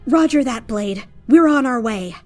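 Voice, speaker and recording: A woman voicing an earnest, animated young heroine in a fan fiction reading. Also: fairly high pitch, measured pace, voice pushed up. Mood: resolute. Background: faint music.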